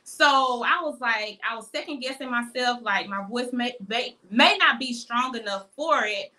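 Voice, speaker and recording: A woman in her thirties with a high, youthful voice, chatting relaxed in an interview about her singing. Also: moderate pace, rough recording. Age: 30s. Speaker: interviewed singer. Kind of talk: casual interview answers.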